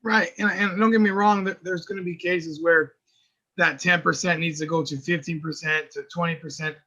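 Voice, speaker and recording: A man with a raspy voice who works as an early intervention teacher, talking earnestly in a casual podcast chat and often repeating words as he finds his point. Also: on the high side, steady tone, normal speed, bright, forceful tone, highs cut off.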